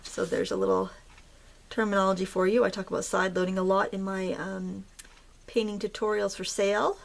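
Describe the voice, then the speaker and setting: Relaxed, gravelly-voiced woman crafter. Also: medium pitch, fairly quick. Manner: relaxed, rambling, with fillers and soft laughs. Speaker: craft tutorial host. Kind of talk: casual chatty tutorial narration.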